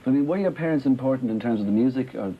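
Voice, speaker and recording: A man hosting a TV interview, asking brisk, chatty and probing questions. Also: mid-range voice, narrow, tinny audio, a little hiss or hum. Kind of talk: casual TV interview.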